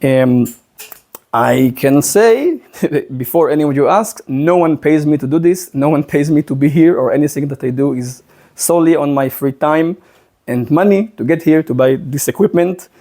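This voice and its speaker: A thirty-year-old man with an Israeli accent presents as a guest speaker. His voice sits somewhat high for a man, with a soft, mellow tone. He explains things earnestly, pausing often to find his words. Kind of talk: public presentation to a student club.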